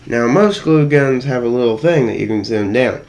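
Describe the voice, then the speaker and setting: A man's voice: mid-range voice, lively intonation, moderate pace, a little mellow, rough recording. A man with a put-on British accent, rambling and joking while walking through a cosplay build. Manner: rambling, jokey, self-deprecating. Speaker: cosplay maker. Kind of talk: how-to tutorial vlog. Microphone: voice muffled inside a costume helmet.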